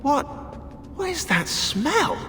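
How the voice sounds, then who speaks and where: A man's voice: very high-pitched, big pitch swings, takes it slowly, pushed and bright, slight background noise, heavily acted. An actor playing a grumbling, sarcastic British man in a video game, dry and exaggeratedly put-upon. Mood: irritated. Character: sarcastic, grumbling British male team member. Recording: echoey hall, game music behind.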